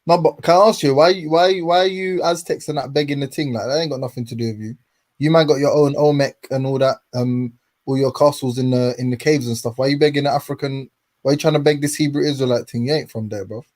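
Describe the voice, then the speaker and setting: A man's voice: medium pitch, fairly even intonation, fast, a little mellow. A young man, hosting a casual chat and teasing his guest with laughing, slangy banter. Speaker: host.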